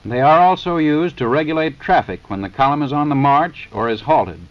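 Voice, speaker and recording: An American man reading a formal 1930s scripted narration for a training film in a measured, matter-of-fact, instructional manner. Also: on the high side. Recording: dull, lo-fi 1930s film soundtrack.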